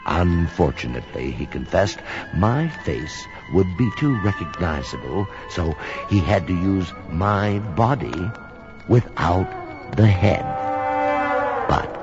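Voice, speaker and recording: An actor playing a haunted, melodramatic man who tells a ghoulish horror tale with theatrical pauses and repetitions. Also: sits low, animated, heavily acted. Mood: suspenseful. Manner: theatrical, halting repetitions for suspense. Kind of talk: dramatic horror storytelling. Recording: dull recording under loud music.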